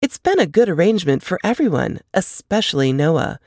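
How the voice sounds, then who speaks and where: A woman voicing a practical, protective older sister in a novel reading, reassuring and gently bossy. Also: fairly low pitch, sing-song, fairly quick, no room sound, studio quality. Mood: chatty, caring.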